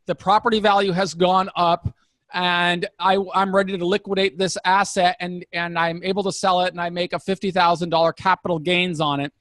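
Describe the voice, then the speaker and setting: A man in his fifties with a notably high voice, recorded dry with very little room sound. He speaks as a conversational interviewer, curious and deliberate. He often restates a point to check that he has it right. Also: level tone, a bit bright, no echo. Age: 50s. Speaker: interviewer asking about retirement investing.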